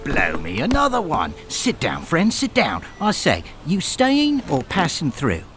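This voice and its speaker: Man playing a matey, animated smuggler. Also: fairly high pitch, a little slow, faint music behind, heavily acted. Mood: matey, wry. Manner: matey, chatty. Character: friendly, gossipy working-class smuggler with British slang. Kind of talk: radio drama acting.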